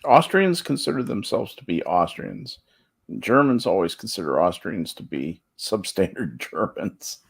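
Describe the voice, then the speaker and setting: A man's voice: low voice, very animated, a little slow, vocal fry, slightly rough. A man chatting casually as a talk show panelist, musing in a wry way. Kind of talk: casual chat.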